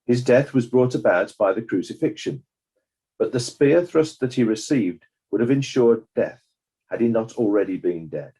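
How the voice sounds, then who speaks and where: A man playing a sober judge in a dramatized inquest, summing up in a formal, measured, judicial way with a husky voice. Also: medium pitch, lively intonation, warm tone. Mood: measured, grave.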